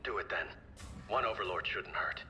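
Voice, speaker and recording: An actor playing a calm, courteous man in charge of experiments, giving measured, polite instructions in a video game. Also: sits low, slight background noise. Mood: encouraging. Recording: dull, band-limited sound with music behind.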